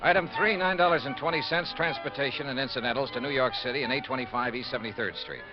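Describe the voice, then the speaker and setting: An actor playing a brisk, wry, hard-boiled insurance investigator with an American accent, in 1950s radio detective style. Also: male voice, medium pitch, music in the background, natural voice. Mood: crisp narrating. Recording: muffled vintage radio recording.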